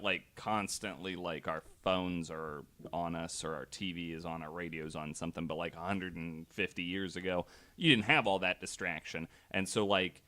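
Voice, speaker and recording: A man's voice: deep voice, sharp tone. A man co-hosting a casual podcast, rambling with many hesitations and fillers. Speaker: podcast co-host. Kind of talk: casual chat.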